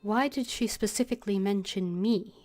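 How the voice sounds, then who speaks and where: A woman's voice: mid-range voice, normal speed, a little mellow. A woman streaming a video game, casually commenting and reading dialogue choices aloud.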